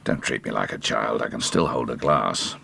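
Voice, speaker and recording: Gravelly, very deep ailing old father. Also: moderate pace, bright, forceful tone, heavily acted. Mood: irritable, weary. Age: older. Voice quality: hoarse, gravelly, coughing. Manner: testy, weary, commanding. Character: ailing, irritable old father with grown daughter. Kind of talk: radio drama acting.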